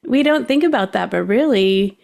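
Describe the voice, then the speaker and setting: A woman's voice: mid-range voice, expressive, normal speed, gravelly, a little mellow. A woman giving casual advice on a podcast in an informal, rambling way, often voicing imagined dialogue.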